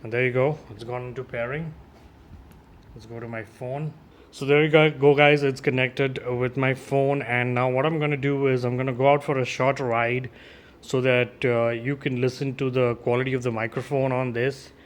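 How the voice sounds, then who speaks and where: A man's voice: medium pitch, level tone, moderate pace. A man giving a casual, informal unboxing and demo as a gear reviewer, addressing his viewers directly.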